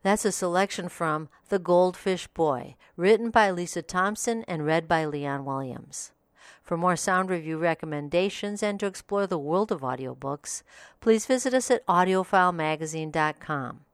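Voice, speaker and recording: A female podcast host reads a scripted audiobook review with polished, even announcer delivery. Her voice is on the lower side for a woman. The sound is close and dry, with no room around it. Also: moderate pace, no room sound.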